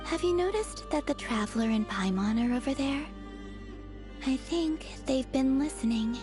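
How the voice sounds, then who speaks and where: An actress playing a gentle, composed young woman in a video game, talking in a measured, reflective way. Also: high-pitched, lively intonation. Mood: calm. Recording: game music behind the voice.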